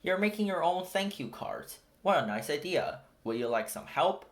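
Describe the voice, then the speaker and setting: Narrator voicing a kindly man, lively pitch. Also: normal speed, lightly acted. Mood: warm, encouraging. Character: kindly easygoing man, patient friend to monkey. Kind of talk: children's storybook character voice.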